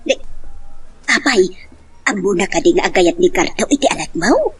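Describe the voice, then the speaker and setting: A woman's voice: medium pitch, big pitch swings, normal speed, husky. A woman playing a worried, pleading mother in an amateur family love drama, speaking with an Ilocano accent. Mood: earnest, concerned.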